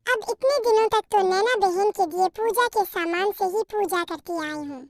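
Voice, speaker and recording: A woman's voice: very high-pitched, moderate pace, dry recording. A woman voicing a timid, devout young daughter-in-law bird in a cartoon, meek, pleading and earnest. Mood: thoughtful. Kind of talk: Hindi moral-story cartoon dialogue.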